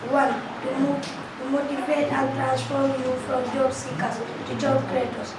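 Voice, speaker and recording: A high-voiced woman delivers a formal welcome, setting out her points one by one. She is far from the mic in a very echoey hall, with loud noise around her. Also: little pitch movement, a little slow. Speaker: event presenter.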